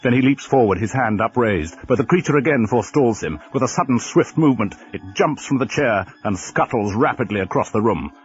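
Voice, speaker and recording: An actor playing a grave storyteller, narrating a horror radio drama in a deliberate, suspenseful and literary manner. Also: male voice, mid-range voice, expressive, lightly acted. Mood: urgent, suspenseful. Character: grave, ominous male storyteller of a horror tale. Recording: dull, lo-fi, noisy radio drama recording.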